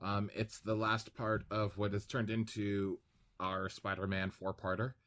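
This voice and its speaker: Low-voiced man, effusively grateful podcast host. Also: flat delivery, unhurried, fairly bright tone. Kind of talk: casual chat.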